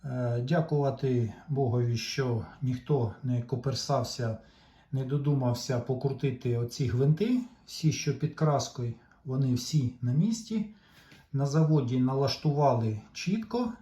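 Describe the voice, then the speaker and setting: A man giving an informal, unscripted repair walkthrough as a hobbyist, explaining haltingly and pausing mid-sentence. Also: medium pitch, warm tone. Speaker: repair hobbyist presenting a demonstration. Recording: dull lo-fi sound.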